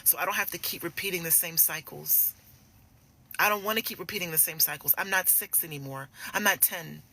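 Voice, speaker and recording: Bright-voiced woman coach, emphatic. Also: lowish voice, a little fast. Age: 40s. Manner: emphatic, repeats phrases for emphasis; direct, familiar address to listeners. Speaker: relationship coach addressing women. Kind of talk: casual motivational live chat.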